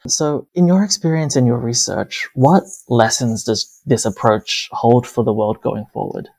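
A man's voice: mid-range voice, creaky, darkish tone, poor audio. A man with an Australian accent speaking as a podcast host, presenting and interviewing on a policy show. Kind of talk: scripted podcast introduction and interview.

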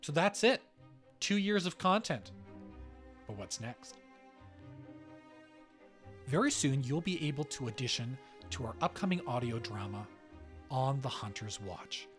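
A man's voice: medium pitch, expressive, measured pace, long pauses. Male podcast host over background music. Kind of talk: scripted podcast announcements.

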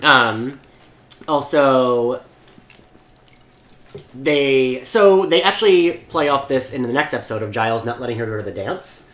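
A man co-hosting a podcast in casual chat, relaxed and hedging with fillers. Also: medium pitch, measured pace, pauses often. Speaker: podcast co-host. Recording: echoey room.

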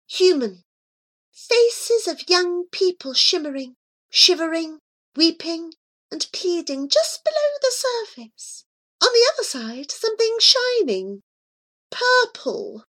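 A woman voicing an anxious small female animal guide with a high, breathless, urgent voice. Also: very animated, slow pace, fairly bright tone, heavily acted. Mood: eerie.

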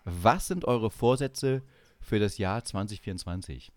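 A man's voice: lowish voice, lively intonation, unhurried, no echo. A man co-hosting a comedy podcast in casual banter, teasing and announcing things with mock solemnity.

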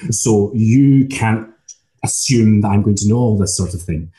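Scottish man, academic, very dark tone, lowish voice, measured, thoughtful, frequent pauses. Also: poor audio. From Scotland. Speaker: academic lecturer. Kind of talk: casual academic conversation.

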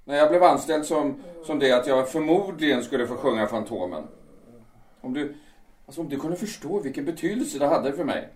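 A man in an audio drama playing a bitter understudy singer who calmly lays out his revenge, sometimes rising into fervent outbursts. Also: on the high side, close to an everyday voice. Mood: bitter fervor. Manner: cold and deliberate, swelling into fervent outbursts. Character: bitter vengeful male understudy, calm and menacing. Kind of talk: amateur audio drama acting. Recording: roomy, distant mic.

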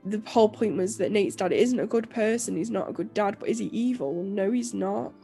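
A young woman co-hosting a podcast, croaky and nasal from illness, rambling casually with lots of fillers and restarts. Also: mid-range voice, brisk, warm tone, soft background music. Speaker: podcast co-host and author. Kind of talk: casual chat.

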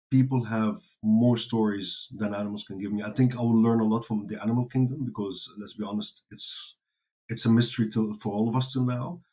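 A fairly low-voiced man whose first language is Dutch answers interview questions. He talks casually, rambling through fillers and restarts, and laughs easily. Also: darkish tone. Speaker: interview guest.

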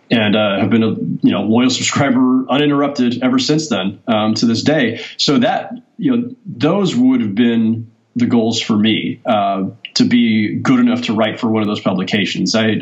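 A man's voice: medium pitch, highs cut off. A sportswriter in his forties, a guest on a podcast, telling his story in a thoughtful, rambling way. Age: 40s. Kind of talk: casual interview talk.

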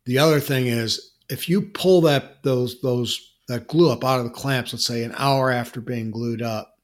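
Guy co-hosting a podcast, chatty, explains stuff with restarts, normal pitch. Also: normal speed. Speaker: podcast co-host answering listener questions. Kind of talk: casual podcast chat.

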